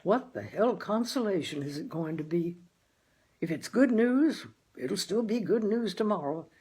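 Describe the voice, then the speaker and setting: A woman voicing a gloomy, plainspoken working-class father in a storybook, with folksy grammar and a deliberate, emphatic delivery. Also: mid-range voice, lively intonation, dark tone, slightly rough. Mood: grumbling. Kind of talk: dramatized audiobook character.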